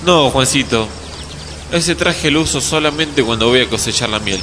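A man's voice: medium pitch, expressive, normal speed, noisy background, natural voice. A man playing a patient, kindly beekeeper grandfather with an Argentine accent, explaining things simply in a radio drama. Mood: patient, explanatory. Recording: clear outdoor birds and insects. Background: birds, crickets, countryside ambience.

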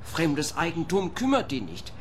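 A man's voice: fairly high pitch, huge pitch swings, a little slow, slight background noise. A man playing a fussy, querulous, self-pitying landlord in a radio play. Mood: indignant. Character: fussy, aggrieved male landlord obsessed with order.

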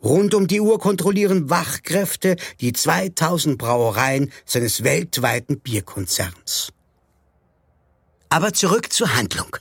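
A man's voice: on the high side, animated, takes it slowly, slightly rough, no echo, studio quality, exaggerated voice. A man playing a mock-solemn storyteller, narrating an absurd radio play with theatrical announcements.

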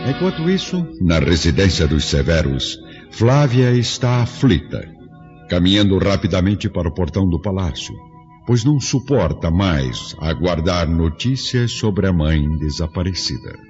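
A man's voice: low voice, sing-song, a little slow, darkish tone. A man with a Brazilian accent narrating a radio drama from a script in a dramatic style. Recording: dull lo-fi radio drama, music behind, faint noise.

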